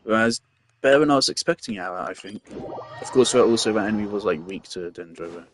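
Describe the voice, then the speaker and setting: A man's voice: fairly low pitch, animated, fast. A man giving casual gameplay commentary, thinking aloud and rambling with restarts. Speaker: gameplay streamer.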